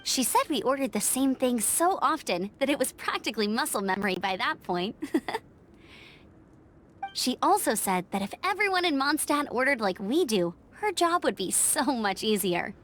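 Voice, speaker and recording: Bubbly young female scout, high voice, animated intonation, eager, friendly, anime game. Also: normal speed, a little hiss or hum. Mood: cheerful, fond. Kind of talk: anime video game voice acting.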